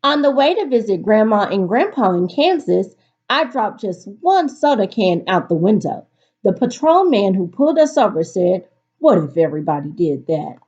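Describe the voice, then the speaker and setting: A woman teacher reading aloud to students in an expressive, instructive storytelling delivery. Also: medium pitch, normal speed, breathy edge. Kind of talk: picture-book read-aloud for a virtual class. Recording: dull, lo-fi recording.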